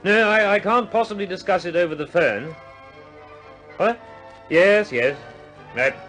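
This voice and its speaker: A man's voice: sits high, unhurried, over music. An actor playing an exasperated senior British official in a vintage radio drama, stammering and emphatic as he blusters. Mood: flustered.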